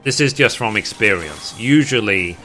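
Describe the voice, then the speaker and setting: A man's voice: on the low side, lively intonation, normal speed, pushed and bright. A man with a British accent chatting casually and at rambling length with his live stream viewers as a gaming streamer. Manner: rambling, reading chat aloud and replying. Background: music.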